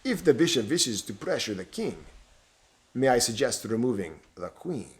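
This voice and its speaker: A man's voice: mid-range voice, very animated, moderate pace, lightly acted. A man voicing a cold, hissing Romanian stranger. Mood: sly.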